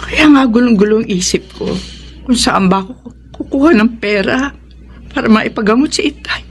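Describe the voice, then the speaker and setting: A woman's voice: on the high side, normal speed, faint music behind, natural voice. A Filipino woman acting an earnest, principled, hardworking single mother in a radio drama. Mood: tearful, worried.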